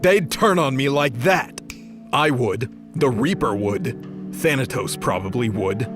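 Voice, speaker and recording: A man's voice: medium pitch, lively intonation, takes it slowly. A man playing a bitter, foul-mouthed, hot-tempered fallen archangel in an audio drama, cynical and combative in manner. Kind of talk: dramatized audio fiction acting. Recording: music behind the voice. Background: music.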